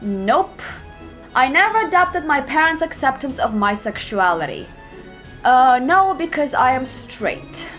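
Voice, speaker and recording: A young woman vlogger talks to camera in a high, bouncy voice, reading quiz questions aloud and snapping back with sarcastic, profane answers. Soft music plays faintly underneath. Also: sing-song, unhurried. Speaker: YouTuber. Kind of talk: reacting to online quizzes to camera.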